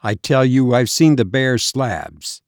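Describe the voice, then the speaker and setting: A man's voice: medium pitch, takes it slowly, no echo, studio quality. A man playing a shaken, overwrought husband in a horror storybook, with an urgent, defensive manner. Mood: frantic. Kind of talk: dramatised storybook reading.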